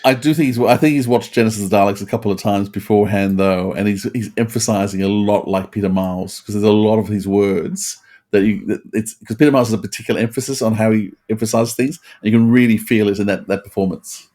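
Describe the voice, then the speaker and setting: A man's voice: lowish voice, steady tone, quick pace, a little mellow. A man talking casually as a co-host in a podcast discussion.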